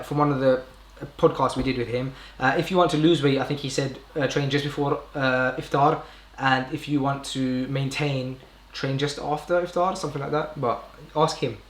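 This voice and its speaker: A man's voice: medium pitch, steady tone, normal speed, a bit bright. A young British man hosting a casual live stream, talking informally in a rambling way and often correcting himself. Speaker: podcast host live streaming. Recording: roomy indoor echo.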